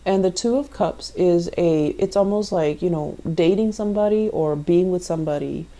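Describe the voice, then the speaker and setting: Mellow low-voiced woman reading tarot. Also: moderate pace. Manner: explanatory, addressing the listener directly. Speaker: tarot reader and channel host. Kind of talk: conversational tarot card reading.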